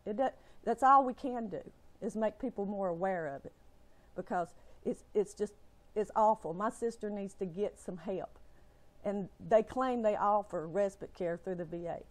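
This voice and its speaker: A woman's voice: mid-range voice, normal speed. A woman with a Southern US accent giving an impassioned, exasperated rant in a shaky, upset voice, with folksy, emphatic phrasing. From Alabama, United States. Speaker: family caregiver.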